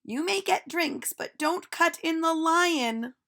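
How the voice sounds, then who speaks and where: A woman's voice: very high voice, animated, creaky, pitched above the performer's own voice, full character voice. A woman voicing a cheerful, silly schoolteacher from a children's storybook, calling out announcements emphatically. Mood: bright, announcing. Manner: loud, emphatic announcing, drawn-out words. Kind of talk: storybook read-aloud for children.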